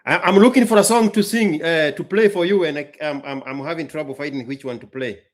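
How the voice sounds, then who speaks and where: A man's voice: on the high side, fairly quick. A man from Kenya, a musician chatting casually with hesitant, stumbling restarts. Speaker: musician playing songs for strangers. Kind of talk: casual chat.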